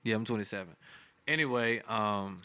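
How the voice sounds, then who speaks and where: A man's voice: lowish voice, normal speed, bright, forceful tone, smooth, dry recording. A man in his thirties hosting a casual podcast chat, laid-back and joking, laughing often. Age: 30s. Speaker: podcast host.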